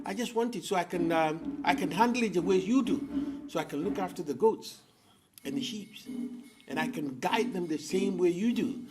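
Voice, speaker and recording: A man's voice: high voice, expressive, moderate pace, heavy background noise. A man voicing a boastful, eager leopard with a hungry, menacing streak in a children's story.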